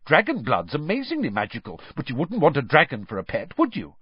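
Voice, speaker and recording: A man's voice: mid-range voice, sing-song, brisk, slightly rough, no echo, muffled recording, pitched above the performer's own voice. A man voicing a bossy, clever, indignant schoolgirl with a snappy, prim manner in an audiobook.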